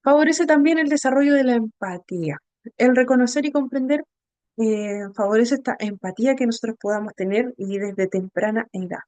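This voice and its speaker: A woman instructor with a fairly high voice and a Chilean accent, recorded dry and close without room sound. She lectures informally in a halting way, often pausing to search for words and checking in with short tag questions. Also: dark tone, no echo. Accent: Chilean Spanish. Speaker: instructor of an inclusive education class.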